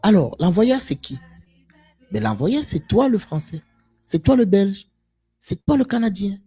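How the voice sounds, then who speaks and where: A man's voice: high voice, very animated, unhurried, dark tone, slightly rough, faint music behind. A man giving an impassioned live monologue to viewers, emphatic and preachy, repeating phrases and asking rhetorical questions. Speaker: live stream speaker.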